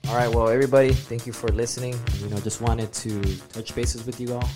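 A man co-hosting a podcast, asking rambling questions in a relaxed, casual way. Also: medium pitch, fast, music in the background. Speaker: podcast co-host.

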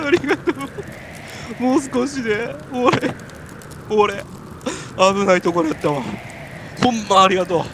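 A man's voice: high-pitched, a little slow, long pauses, pitched above the performer's own voice. A man with a Kansai accent playing a hungry, freezing, silly stranded climber in an amateur comic radio drama, with absurd earnestness. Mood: grateful. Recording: noisy amateur recording.

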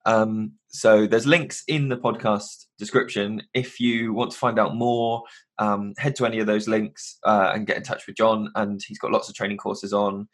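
A man's voice: fairly low pitch, level tone, moderate pace. A man hosting a podcast, chatting casually and rambling a little. His nose is stuffed up from hay fever. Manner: chatty, rambling, self-correcting. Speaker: podcast host.

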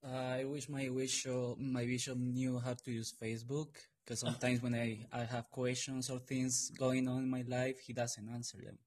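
A man's voice: medium pitch, monotone, moderate pace, hardly any pauses, a little mellow. A man from Colombia, speaking hesitantly in class and repeating his words. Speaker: student.

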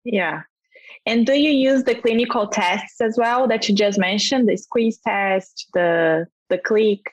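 A woman's voice: mid-range voice, measured pace, no echo, low-quality recording. A woman hosting an interview in English, which is not her first language, asking curious follow-up questions. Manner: curious, laughs at herself over hard words. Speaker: podcast host interviewer.

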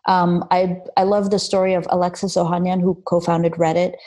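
Young woman designer, interview guest; reflective and eager, lots of ums, husky low-ish tone. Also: flat delivery, fairly quick, mellow. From Armenia, raised in Los Angeles. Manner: reflective, enthusiastic, many fillers and restarts. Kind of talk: casual interview.

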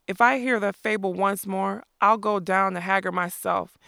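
A reader voicing a mocking, sharp-tongued outlaw woman in a storybook, taunting and sarcastic. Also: mid-range voice, steady tone, moderate pace, vocal fry, clean tone, dry recording, clean studio sound. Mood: scornful.

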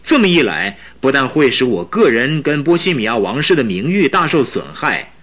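Young male king, imperious, urgent, sharp bright tone, animated swings, radio drama. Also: mid-range voice, normal speed. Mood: worried, grave. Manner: emphatic, imperious. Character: proud, anxious young king of Bohemia, urgent and indignant.

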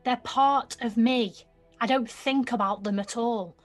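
Defiant nineteen-year-old girl, played by an actress: slightly high, slangy, sulky, unhurried, audio drama with faint music bed. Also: close to an everyday voice. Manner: slangy, blunt, petulant. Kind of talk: audio theatre acting.

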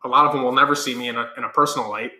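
A man, a corporate trainer, talking in a casual interview with a loud, high-energy, projecting voice. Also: steady tone, very fast. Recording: echoey room.

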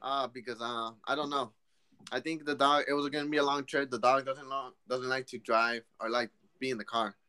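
A man in his twenties co-hosting a casual podcast chat, rambling and restarting his sentences, getting excited. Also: medium pitch, a little fast, clean tone. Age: 20s. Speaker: podcast co-host.

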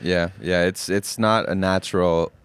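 A young man from Finland with an American accent, calmly explaining things as an interview guest and often repeating words. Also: low voice, measured pace, smooth, no echo. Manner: calm, even explanations with frequent word repeats. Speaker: guest, golf caddie. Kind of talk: casual interview answers.

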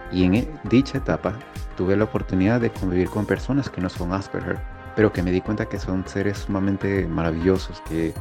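A young man with a Venezuelan accent, a pastor giving an earnest, measured and grateful recorded testimonial. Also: deep voice. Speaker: pastor sending a recorded message. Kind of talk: prepared testimonial message.

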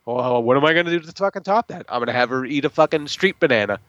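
A man's voice: medium pitch, lively intonation, fast talker, fairly bright tone, no room sound, muffled recording. A man co-hosting a podcast, talking emphatically about a film with heavy swearing. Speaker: podcast co-host. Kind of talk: casual movie review chat.

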